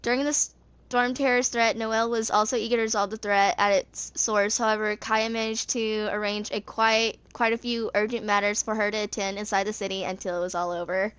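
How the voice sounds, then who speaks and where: A woman's voice: medium pitch, little pitch movement, a little fast, a bit bright, clean tone, no echo. A woman in her twenties reading video game character lore aloud, stumbling over words now and then. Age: 20s. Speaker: gaming video creator.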